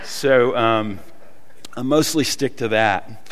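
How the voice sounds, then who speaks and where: A man with a Southern US accent preaching a sermon, telling folksy stories with many pauses and fillers. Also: medium pitch, a bit bright, long reverb tail, slight background noise. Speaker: pastor. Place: church hall.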